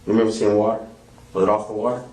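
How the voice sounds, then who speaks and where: A man's voice: on the low side, fairly even intonation, rapid-fire, dark tone. A man asking casual questions as a police interviewer. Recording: echoey distant room tape, faint noise.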